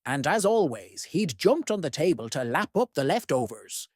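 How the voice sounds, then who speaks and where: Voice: mid-range voice, sing-song, normal speed, no room sound, clean studio sound. A storyteller reading a children's picture book aloud expressively, addressing young listeners. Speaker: read-aloud host.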